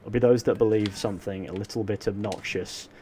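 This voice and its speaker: A young man chats loosely on a gaming livestream, drifting between jokes and replies to viewers' questions. His voice sits a little low. A faint ambience hums behind him. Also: steady tone, fairly quick, warm tone, slight background noise. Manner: rambling, joking, answers chat questions. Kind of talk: casual chat while playing a game live.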